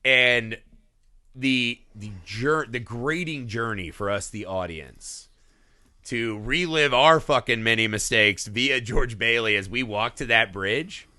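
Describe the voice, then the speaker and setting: A middle-aged man talks film on a podcast in a sharp, tense tone with heavy swearing. He slips into quick put-on voices when he retells scenes. The sound is close and dry, with no room around it. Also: medium pitch, dry recording. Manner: profane, animated, acts out scenes in voices. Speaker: podcast co-host. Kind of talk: casual film discussion.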